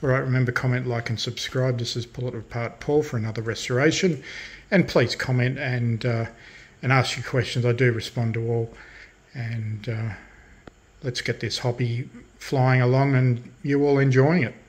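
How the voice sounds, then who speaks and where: A man hosting a casual hobby restoration demonstration, speaking unscripted in a halting, matter-of-fact way. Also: mid-range voice, steady tone. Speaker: host of a hobby radio restoration channel. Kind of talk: casual hands-on demonstration talk. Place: indoors at a workbench in a room.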